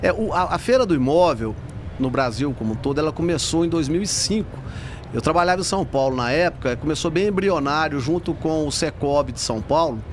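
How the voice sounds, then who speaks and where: A Brazilian man speaks in a fairly high male voice, explaining things informally like an executive being interviewed. Faint trade-fair hall noise is heard behind him. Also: animated, a little fast. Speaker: bank executive, interview guest. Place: convention centre trade fair.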